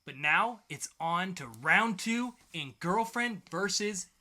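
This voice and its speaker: A man's voice: high voice, expressive, slow pace, heavy background noise. A man co-hosting a podcast with playful banter and mock game-show announcing. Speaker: podcast co-host.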